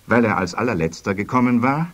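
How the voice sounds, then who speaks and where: A man narrating a children's story expressively, with a husky voice. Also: lowish voice, expressive, fairly quick, fairly bright tone, muffled recording.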